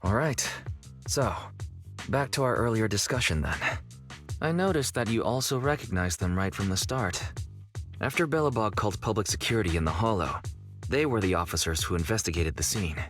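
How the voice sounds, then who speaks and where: Game role: a level-headed young man, lowish voice, lively intonation. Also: normal speed, soft background music. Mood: calm. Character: calm young male shop manager.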